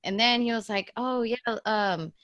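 A woman's voice: a little high, normal speed, a bit bright, no room sound, dull audio. Woman, twenties, casual storytelling, blunt, heavy slang, free swearing, dry close sound. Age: 20s.

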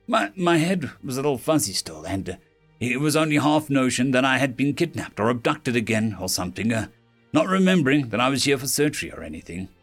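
A man playing a croaky, groggy human patient. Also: mid-range voice, big pitch swings, a bit bright, faint music behind. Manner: halting, hesitant. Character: groggy strong male human patient, hoarse.

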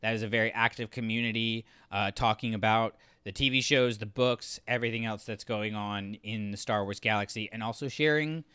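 Male podcast co-host, casual and enthusiastic, lowish clear voice, close dry sound. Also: fairly quick, few pauses, sharp tone, no echo. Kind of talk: casual podcast review discussion.

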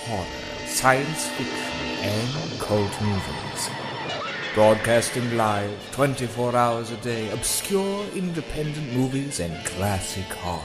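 A man's voice: medium pitch, animated, takes it slowly. A man doing a theatrical, menacing voice-over as a promo announcer for horror programming. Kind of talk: dramatic horror channel trailer voice-over. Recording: loud music under voice.

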